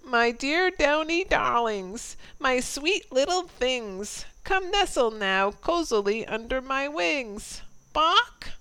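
A fussy mother hen clucks with pride over her chicks in a high, squawking voice, as a woman reads a storybook. The sound is clean and dry, with no room around it. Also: expressive, takes it slowly, hardly any pauses, sharp tone, smooth, dry recording, heavily acted, low-quality recording. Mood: doting.

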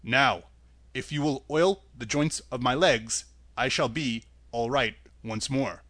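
A mournful, polite tin man speaks low and unhurried, grateful and weary, as a male reader voices him. The sound is close and dry. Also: fairly bright tone, no echo. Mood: sad polite.

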